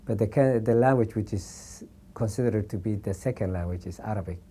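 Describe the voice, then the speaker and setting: A man explains his country in a careful, deliberate manner. His voice is low and warm, with faint room sound behind him. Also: slight background noise. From Somalia. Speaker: documentary interviewee. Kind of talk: explaining to camera.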